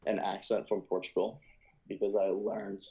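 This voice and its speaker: Young guy w/ new york accent, low voice, rambly with lots of ums, muffled lo-fi audio. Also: flat delivery, moderate pace, gravelly, dark tone. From United States. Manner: rambling, hesitant, lots of fillers and self-corrections. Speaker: podcast guest. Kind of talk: casual conversation.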